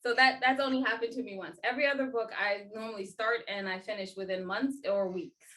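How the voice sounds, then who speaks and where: A woman's voice: a little high, steady tone, a little fast, fairly bright tone. Playful storytelling young American woman. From United States. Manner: playful storytelling, self-mocking jokes. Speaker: guest author. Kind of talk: casual interview chat.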